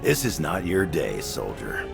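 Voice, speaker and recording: An actor playing a cocky, gruff action-game soldier with a gravelly voice and curt, deadpan quips. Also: male voice, extremely low pitch, a little slow, over music, exaggerated voice. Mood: brusque. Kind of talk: video game cutscene acting.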